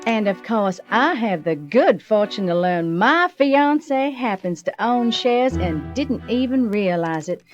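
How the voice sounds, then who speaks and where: A woman's voice: mid-range voice, lively intonation, unhurried, few pauses, lo-fi sound, faint music behind, natural voice. An actress playing a proud, bitter businesswoman in an audio drama, sardonic and clipped. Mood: icy, sarcastic.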